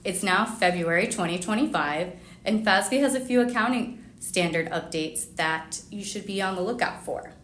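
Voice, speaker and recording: A woman's voice: medium pitch, moderate pace, a bit bright. A woman hosting a business podcast, reading introductions and questions in a formal, welcoming presenter's manner. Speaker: podcast host. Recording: roomy echo, faint background noise.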